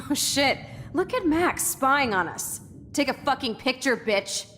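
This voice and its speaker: A woman's voice: high-pitched, lively intonation, unhurried, fairly bright tone. A woman playing a bitter, foul-mouthed rebellious young woman in a video game, full of scorn. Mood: mocking. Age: young adult. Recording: long reverb, faint noise.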